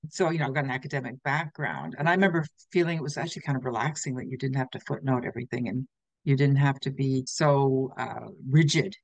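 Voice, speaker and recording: A woman's voice: rumbling low, fairly even intonation, fast, dry recording. An older woman hosting a podcast interview over a video call, friendly and agreeable. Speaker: podcast host. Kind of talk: casual interview chat. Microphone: video call webcam.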